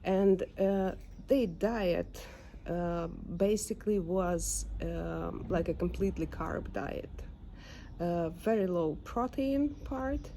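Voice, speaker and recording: A young woman with a Ukrainian accent talks slowly and unhurriedly in a casual home vlog. Her voice is soft and mellow, and she pauses often with fillers while searching for her English words. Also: on the low side, a little hiss or hum. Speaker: dog owner vlogger. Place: home backyard.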